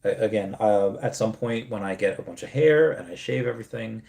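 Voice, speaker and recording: A man in his thirties chats loosely on a livestream, starting sentences over and wandering between thoughts. His voice sits a little low, and the room gives it a noticeable echo. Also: brisk, vocal fry, warm tone. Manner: rambling, many restarts, laughs at comments. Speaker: streamer host. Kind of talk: casual livestream chat reading comments.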